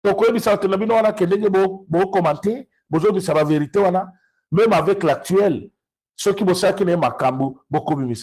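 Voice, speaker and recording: A man with a Congolese accent and a husky voice, giving emphatic, unscripted political commentary and repeating phrases for stress. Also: sits high, normal speed, darkish tone. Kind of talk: unscripted political commentary, switching between two languages.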